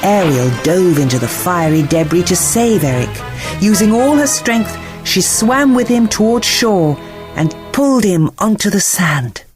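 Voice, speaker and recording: A woman narrating a scripted children's bedtime story in an expressive, theatrical storytelling manner. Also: on the low side, a little slow, over music.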